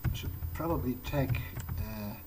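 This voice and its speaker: A man's voice: mid-range voice, a little slow, noisy background. A man improvising in character as he plays a video game, speaking in a hesitant, musing, understated way. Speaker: let's player.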